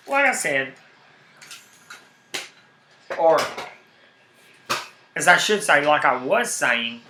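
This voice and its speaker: A man with a heavy Southern accent chatting casually on his live stream, rambling and animated, repeating himself when worked up. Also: a little high, roomy sound, slight background noise. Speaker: YouTube streamer.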